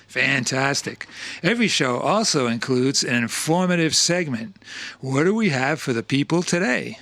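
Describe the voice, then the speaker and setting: A male show host with a bright, forward voice and middling pitch. He moves between showy scripted introductions and easy, casual interview chat. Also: expressive, normal speed.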